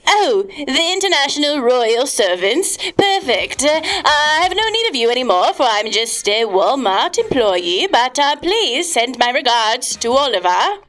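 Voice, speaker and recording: A woman with a high, lively voice answers a call hesitantly, putting on a mock-formal tone and playing along with the joke. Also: unhurried, hardly any pauses. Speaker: prank call recipient.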